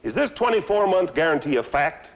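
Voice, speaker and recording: A man's voice: fairly high pitch, very animated, a little fast. An actor playing an angry male car owner in a vintage training film, blunt and sarcastic as he complains about bad service. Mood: suspicious. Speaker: dissatisfied customer. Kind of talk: 1950s training film acting.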